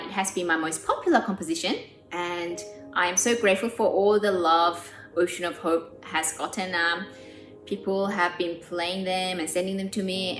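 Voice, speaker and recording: Woman, thirties, born in South Korea: earnest pianist interviewee, low-ish voice, roomy sound, faint music behind. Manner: earnest and warm, with frequent "um" pauses. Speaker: pianist and composer. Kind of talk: documentary interview.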